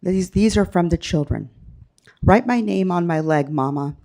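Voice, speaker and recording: A woman's voice: fairly low pitch, moderate pace, dark tone. Woman reading a poem aloud with passion. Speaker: resident public commenter.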